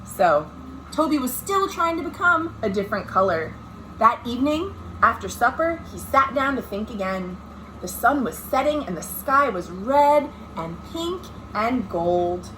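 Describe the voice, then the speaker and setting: A woman's voice: on the high side, big pitch swings, measured pace, a bit bright. A woman, a children's librarian, reads a picture book aloud with dramatic emphasis, speaking directly to young listeners. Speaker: children's librarian hosting storytime. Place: indoors at home. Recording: noisy amateur home recording.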